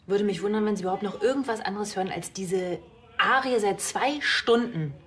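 A woman's voice: medium pitch, a little fast, fairly bright tone, lightly acted. Dry, practical policewoman, played by a woman. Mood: wry. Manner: matter-of-fact, dry. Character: practical, dry female police station colleague.

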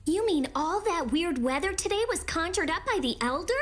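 Grumpy anime girl, really high voice, lively, no nonsense. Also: moderate pace. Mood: skeptical. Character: irritable, no-nonsense young girl. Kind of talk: English anime dub acting. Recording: music behind.

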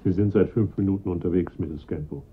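An actor playing a calm, methodical police inspector in a radio crime drama. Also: male voice, sits low, a little fast, slightly rough. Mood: urgent, controlled. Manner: sober, methodical questioning. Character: calm, measured police inspector, adult man. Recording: muffled, noisy radio recording.

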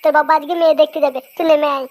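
A woman's voice: sits high, fairly even intonation, rapid-fire, a little mellow, close to an everyday voice. A woman voicing an exasperated but indulgent village mother in a comic cartoon, colloquial and placating. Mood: coaxing. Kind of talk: comic Bengali cartoon dubbing.

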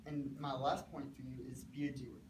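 A teenage man delivers an earnest, measured sermon in short phrases, his voice sitting in the middle of the usual range. He is recorded by a camera well back from the stage, so the room's echo is loud and carries behind every word, with a steady ambient hiss underneath. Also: mid-range voice. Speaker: student preacher. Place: classroom with a small stage, indoors. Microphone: far camera, no microphone. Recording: echoey room from far off.

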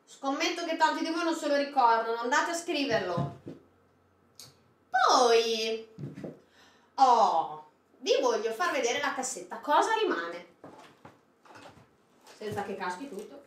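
A woman's voice: high-pitched, sing-song, slow, long pauses, slight background noise. A woman in her thirties presenting a food box unboxing, talking straight to viewers, asking them questions and giving recipe tips from a small indoor set. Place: small indoor studio set with produce crates. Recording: echoey room.

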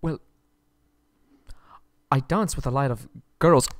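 Captive young prince, played by a man for ASMR roleplay: meek, courteous, pleading, slow stammered speech, dry close sound. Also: mid-range voice, no room sound, lightly acted. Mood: nervous, apologetic. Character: nervous, submissive, polite young prince held captive. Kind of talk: ASMR roleplay audio drama.

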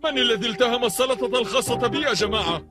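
A man playing a lively little forest dwarf in a dubbed cartoon, with an animated delivery. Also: extremely high pitch, very fast, music in the background. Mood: indignant. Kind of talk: dubbed cartoon character acting.

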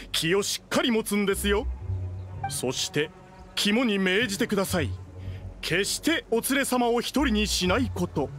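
A man's voice: high voice, fairly bright tone. A man voicing a courteous uncle who hosts a scary courage event in an anime game, speaking like a polite announcer. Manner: polite, cautioning announcer delivery. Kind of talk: Japanese anime game voice acting. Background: background music.

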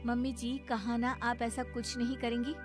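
A woman's voice: fairly high pitch, little pitch movement, moderate pace, music in the background, lightly acted. A woman voicing an earnest, wronged young wife who protests her innocence in a family cartoon. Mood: firm. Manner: protesting, defensive. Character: wronged young Indian wife, earnest, firm when cornered. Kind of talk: animated family drama voice acting.

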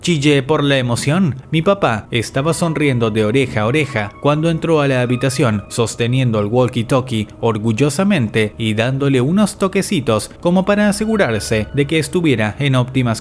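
A man's voice: medium pitch, moderate pace, hardly any pauses. Male story narrator over music. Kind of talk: scripted first-person story narration.

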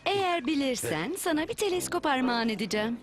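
A woman voicing a patient, measured schoolteacher quizzing a class in a children's cartoon. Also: high voice, big pitch swings. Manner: measured, instructive. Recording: music behind the voice.

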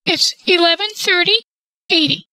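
A shrill, bright-toned woman, rambling and feigning confusion, voiced by a man through voice changing software. The sound is dry and close, with no room around it. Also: fairly even intonation, moderate pace, no echo, exaggerated voice. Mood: muddled. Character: scatterbrained woman stringing along a scammer. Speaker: scambaiter. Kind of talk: prank phone call.

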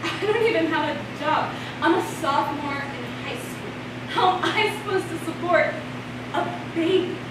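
A woman plays a frightened but defiant teenage girl in a stage drama. The voice is high, heard from a distance with heavy echo and clear noise around it. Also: moderate pace. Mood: overwhelmed. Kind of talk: live one-act stage monologue. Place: festival stage before a seated audience. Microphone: far camera. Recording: very echoey, noisy stage, distant mic.

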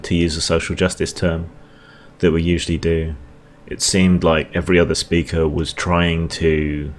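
A man talking alone in a weary, halting monologue with frequent ums and restarts, reacting to a debate for his video channel. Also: a bass voice, a little hiss or hum. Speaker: video blogger.

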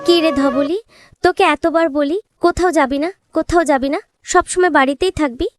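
A woman's voice: high-pitched, normal speed, no echo, clean studio sound, soft background music, close to an everyday voice. A woman voicing a poor village girl in a fairy-tale cartoon, earnest, insistent and imploring. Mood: scolding, worried. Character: pleading poor village girl, devoted to her cow.

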